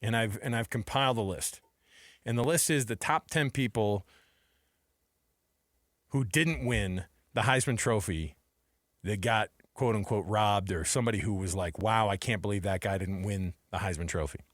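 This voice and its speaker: A man's voice: on the low side, normal speed, a bit bright, no room sound, clean studio sound. An American man co-hosting a sports radio show with casual, chatty, colloquial banter. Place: radio studio. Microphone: close studio microphone.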